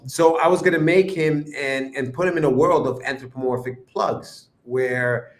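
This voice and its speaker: A man from Ecuador, a guest cartoonist, chatting casually about how he came up with a character, rambling and trailing off softly. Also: medium pitch, moderate pace, echoey room. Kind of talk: casual interview chat. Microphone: remote livestream call.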